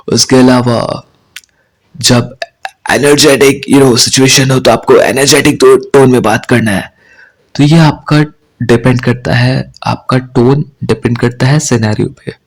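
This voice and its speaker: A young man with an Indian accent teaching spoken English, explaining deliberately in Hindi mixed with English and often checking in with "right?". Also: mid-range voice, slightly rough.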